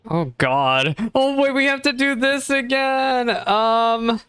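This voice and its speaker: A woman's voice: sits high, expressive, takes it slowly, fairly bright tone, smooth, no echo. A woman giving casual reaction commentary as a game streamer, recorded on a close mic. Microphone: close dry mic.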